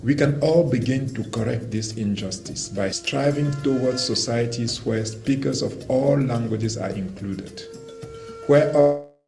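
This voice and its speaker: A man from Cameroon whose first language is Romala, reading a formal, measured statement for a language organization. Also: mid-range voice, creaky. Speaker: language development representative. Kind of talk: prepared statement read aloud. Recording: roomy video clip with faint music.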